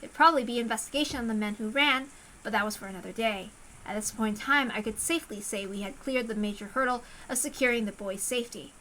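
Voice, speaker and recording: A woman's voice: on the high side, fast, bright, forceful tone, a little hiss or hum, rough recording. A woman reading a first-person story aloud as a dramatic narration. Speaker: visual novel reader.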